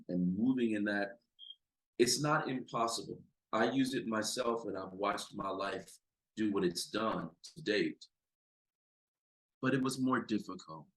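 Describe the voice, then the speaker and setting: A man with a deep voice and an American accent, a psychologist speaking as a podcast guest, talking with emphatic, building intensity. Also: a bit bright, poor audio. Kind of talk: impassioned conversational talk.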